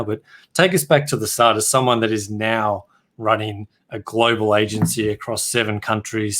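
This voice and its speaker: A man's voice: on the low side, fairly bright tone. A man hosting a business podcast, introducing his guest and asking open questions in a friendly, chatty way. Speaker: podcast host. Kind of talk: interview host questions.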